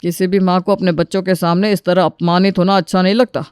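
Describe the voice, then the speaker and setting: A man's voice: high-pitched, level tone, fast talker, a little mellow, clean tone, no echo, clean studio sound, close to an everyday voice. A man playing an elderly, reasonable but exasperated father-in-law who admonishes and pleads for peace in a family story. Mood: admonishing.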